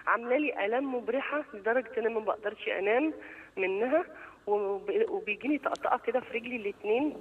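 A woman's voice: fairly high pitch, fast talker, breathy edge. A woman in her fifties with an Egyptian accent calling a TV show, politely and hesitantly listing her pains. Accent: Egyptian Arabic. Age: 50s. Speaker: caller. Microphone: telephone. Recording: tinny echoey phone line.

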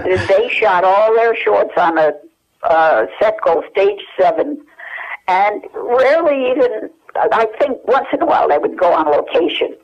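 An older woman with an American accent, reminiscing casually as an interview guest and searching her memory hesitantly as she talks over the telephone. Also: on the low side. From United States. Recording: dull lo-fi telephone hook-up.

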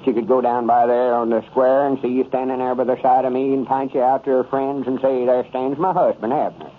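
An actor playing a vain, stubborn old country storekeeper with a folksy rural Southern drawl in a 1930s radio comedy. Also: male voice, medium pitch, fairly even intonation, a little fast, lightly acted. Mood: self-important. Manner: folksy drawl, self-important. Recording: tinny noisy old radio broadcast.

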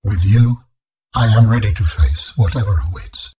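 Husky, solemn ancient alien being. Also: male voice, on the low side, dark tone. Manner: formal, measured, grave phrasing. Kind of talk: video game voice acting.

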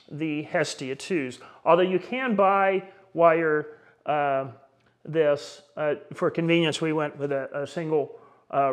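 A middle-aged man talks through an equipment review in a conversational way. His voice sits a little higher than usual, and he often pauses and searches for words.

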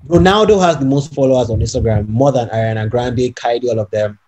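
A man's voice: medium pitch, fast. A young man co-hosting a casual podcast over a video call from home, arguing his point emphatically and often checking if he is understood. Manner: animated, emphatic, persuasive, often asks "you know what I'm saying". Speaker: podcast co-host. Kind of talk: casual debate among friends.

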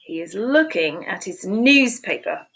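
A woman in her fifties reading picture books aloud to preschoolers in a slow, sing-song storytime voice, recorded on a webcam in a small room at home. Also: fairly low pitch, animated, vocal fry, fairly bright tone, slightly rough. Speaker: children's book reader. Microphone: webcam at desk.

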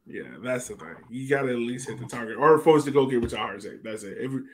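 Man, mid-pitched voice, podcast host, casual soccer chat, video call. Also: fast talker. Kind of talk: casual chat, moderating discussion.

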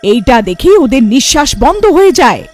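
High-voiced woman narrating a cartoon. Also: big pitch swings, moderate pace, darkish tone, soft background music. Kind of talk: scripted cartoon story narration.

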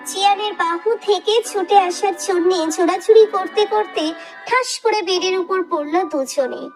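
A woman narrating a romantic story with smooth, expressive reading. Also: very high-pitched, measured pace, over music.

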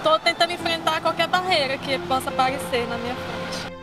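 A woman in her thirties, a hospital cleaner being interviewed, answering softly and hesitantly outdoors. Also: high-pitched, brisk. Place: outdoors at a city bus stop. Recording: TV segment with loud music behind.

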